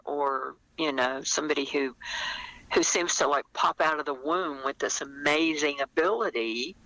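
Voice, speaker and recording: An older woman talking conversationally as an interview guest, explaining her work as a writer in a thoughtful, halting manner. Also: low-pitched, pushed and bright, no room sound, poor audio.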